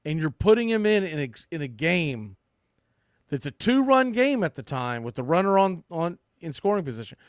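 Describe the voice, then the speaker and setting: High-pitched American man talking baseball, dry sound. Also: sing-song, moderate pace, clear, resonant tone, dry recording. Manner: blunt, emphatic, mildly salty language. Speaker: sports podcast host and play-by-play broadcaster. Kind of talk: opinionated baseball commentary.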